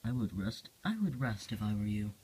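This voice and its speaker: A man's voice: on the low side, brisk, a little mellow, slight background noise, natural voice, rough recording. A man voicing a concerned father in a homemade comic, speaking in plain, brief, encouraging phrases.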